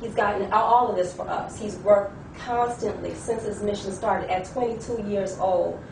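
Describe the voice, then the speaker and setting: A woman speaks in earnest, piling up details and repeating phrases as she tells her story. She sits far from the mic in an echoey room. There is clear rumbling noise behind her. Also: moderate pace. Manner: earnest, listing, repeats herself. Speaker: discussion participant. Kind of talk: testimony in a debate.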